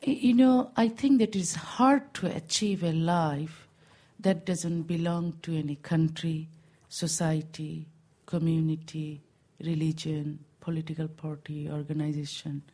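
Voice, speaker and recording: Slow, husky woman. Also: low voice, fairly even intonation, dark tone. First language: Bengali. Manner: slow, halting, with many fillers. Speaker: guest. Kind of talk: interview answers in English.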